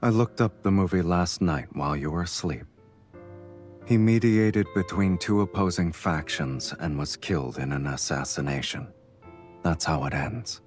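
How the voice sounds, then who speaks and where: A man playing a suave, devoted lover who teases gently and intimately in a romantic audio drama. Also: normal speed, faint music behind, natural voice. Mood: calm, tender. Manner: low-key, teasing, intimate. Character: suave, teasing, devoted adult male lover.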